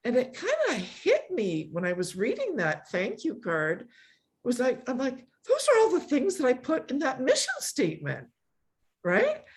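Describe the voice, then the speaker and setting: A woman's voice: high-pitched, wildly swooping pitch. A middle-aged woman from a Polish immigrant family, hosting an online meetup in a casual, effusive, emphatic way. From born in Poland, grew up in a Polish immigrant family. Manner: effusive, emphatic repetition, quick to laugh. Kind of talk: casual chat, thanking a guest.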